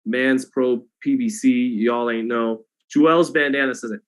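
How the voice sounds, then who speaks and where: Monotone male boxing livestream host. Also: medium pitch, unhurried. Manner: monotone delivery, self-described; loose and rambling, many restarts. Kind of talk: casual livestream chat, reading donations.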